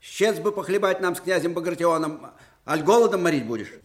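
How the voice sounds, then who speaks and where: Актёр в роли бойкого старого фельдмаршала, голос высоковатый. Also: male voice, on the high side, a little fast, natural voice. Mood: jolly, excited. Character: spry, folksy old Russian field marshal, warm and peppery. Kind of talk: 1980s Soviet radio drama acting.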